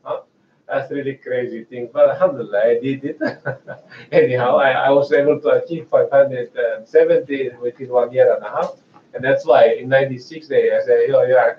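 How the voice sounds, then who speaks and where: A man's voice: medium pitch, fairly quick, slight background noise. Indonesian-accented man lecturing in an echoey room. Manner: chatty, hesitant restarts, frequent laughs. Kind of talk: research seminar lecture. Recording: echoey, distant, muffled room mic.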